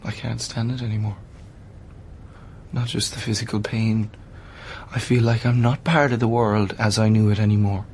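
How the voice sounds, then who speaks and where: A woman's voice: extremely low pitch, steady tone, normal speed, pauses often, a little mellow, a little hiss or hum. A woman acting in a radio play as a bitter, despairing, seriously ill young man, with an intense delivery. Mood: despairing, resigned. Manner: intense, embittered.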